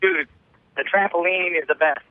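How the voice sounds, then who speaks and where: A man's voice: high voice, moderate pace, no room sound. A man calling into a sports radio show, rambling in a goofy, offhand way. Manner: goofy, rambling, says "dude". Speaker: caller. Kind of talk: rambling call-in chat. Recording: tinny phone line.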